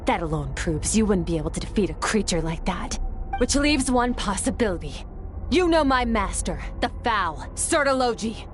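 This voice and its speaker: An actress playing a cool, aloof young woman warrior who speaks in a calm, cold and cutting way. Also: mid-range voice, normal speed, natural voice. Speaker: game character. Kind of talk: game voice acting. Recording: game audio with faint music.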